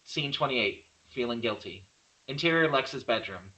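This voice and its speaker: A man's voice: medium pitch, expressive, moderate pace, bright, forceful tone, highs cut off, a little hiss or hum. A man narrating stage directions from a script at a table read, reading plainly and occasionally stumbling over words.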